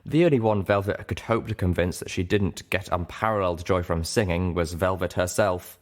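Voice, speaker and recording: A man's voice: sits low, normal speed. A man reading a first-person story aloud as an audiobook narrator, in scripted narration.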